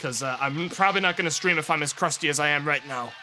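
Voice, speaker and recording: Hoarse, raspy young male streamer. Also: a little high, fast, pushed and bright, slight background noise. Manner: rambling, offhand, trails into mumbles. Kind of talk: casual live-stream chat, thanking subscribers.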